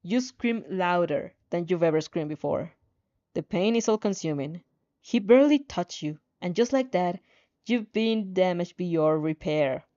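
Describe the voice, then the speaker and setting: A woman's voice: on the low side, lively intonation, measured pace, mellow. A woman narrating fan fiction as a dramatic story reading, on slightly dull audio.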